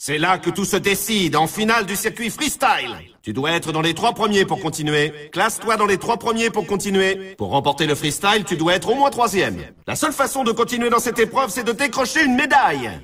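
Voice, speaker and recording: A man playing an excitable race announcer in a snowboarding video game, emphatic and talking straight to the player in a familiar, needling way. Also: sits high, a little fast, breathy edge, recorded from a distance, exaggerated voice. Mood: hyped, urgent. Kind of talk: hyped sports commentary for a video game.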